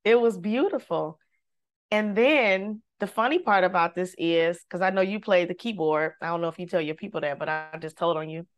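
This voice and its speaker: A woman, a singer, telling a personal story in casual conversation, lively and devout, acting out the voices she quotes. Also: fairly low pitch, moderate pace. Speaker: guest.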